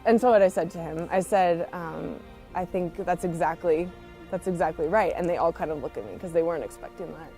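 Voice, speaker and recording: This is a woman with a mellow, slightly low voice. She speaks in an earnest, informal and conversational way, like a missionary teacher sharing a personal testimony. Faint music plays behind her as she talks. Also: a little fast. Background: background music.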